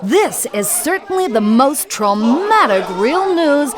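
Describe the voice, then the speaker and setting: A woman's voice: sits high, sing-song, slow pace, a crowd in the background, exaggerated voice. An actress playing a sensational TV news anchorwoman in a radio satire, gushing and theatrically scandalised in mock news commentary. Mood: scandalised, dramatic.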